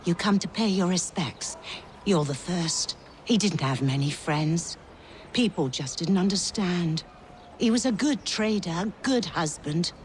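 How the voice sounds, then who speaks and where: A loyal, mourning widow in a colonial video game. She speaks plainly in a fairly low voice, with faint outdoor ambience. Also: expressive, a little slow, a little hiss or hum. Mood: mournful. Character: grieving, loyal colonial widow at her husband's grave.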